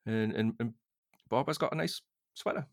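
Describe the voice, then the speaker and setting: A man's voice: mid-range voice, fairly quick, creaky. A man chatting casually as a fan on a podcast, rambling a little hesitantly.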